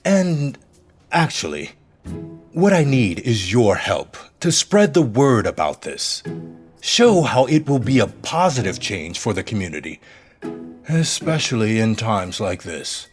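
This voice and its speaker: An actor playing a slick, scheming, domineering city mayor in an audio drama, with a politician's smooth, bossy manner. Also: male voice, on the low side, very animated, measured pace, soft background music. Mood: persuasive, smooth. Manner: smooth politician's charm turning to bossy irritation.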